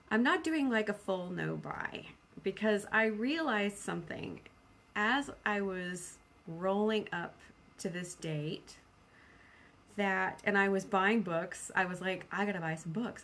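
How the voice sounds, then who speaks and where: A woman's voice: lowish voice, a bit bright. A woman chatting casually as a book vlogger, rambling and self-deprecating, repeating phrases for emphasis and acting out her own thoughts. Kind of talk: casual, rambling video chat about personal plans.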